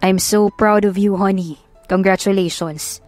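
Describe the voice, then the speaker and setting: A woman's voice: medium pitch, fairly even intonation, unhurried, darkish tone, no echo, lightly acted. A woman with a Filipino accent voicing a devoted young husband in a romance audiobook, earnest and sweet-talking. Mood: proud, tender. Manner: earnest, sweet-talking, mixes English endearments. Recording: music behind the voice.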